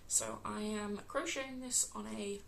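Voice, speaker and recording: A woman with a West Midlands accent, hosting a casual crafting podcast, croaky from a cold and filling pauses with ums. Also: medium pitch, steady tone, slight background noise. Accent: West Midlands English. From England. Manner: chatty, many ums, unhurried.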